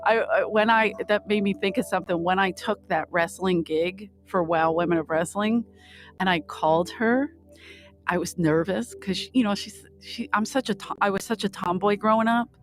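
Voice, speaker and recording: A woman's voice: medium pitch, moderate pace, faint music behind. A middle-aged American woman tells stories in a casual podcast chat. She swears freely and plays out both sides of conversations, with a mid-range female voice. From Philadelphia, United States. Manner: laughs often, swears freely, acts out quoted dialogue. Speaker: podcast guest, performer. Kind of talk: casual chat, animated storytelling.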